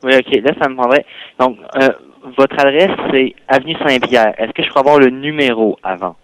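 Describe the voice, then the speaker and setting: A man's voice: medium pitch, moderate pace. A man with a Quebec French accent working as a hotel reservation clerk, speaking politely and in a businesslike way on a phone call. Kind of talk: customer service phone call. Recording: phone line with clear noise and clicks.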